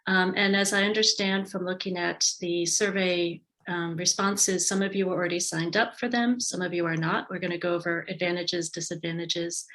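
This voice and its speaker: A woman's voice: fairly low pitch, steady tone, moderate pace, hardly any pauses, a bit bright, low-quality recording. An American woman giving a friendly, conversational online presentation over a video call, with frequent ums and small restarts. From United States. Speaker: tourism marketing advisor presenting a webinar. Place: indoors at home base in a city.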